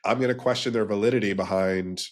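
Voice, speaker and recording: Deep-voiced man, nasal from a cold. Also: steady tone, fast. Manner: rambling, restarts, lists in threes. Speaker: podcast guest, branding business co-founder. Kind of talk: casual interview talk.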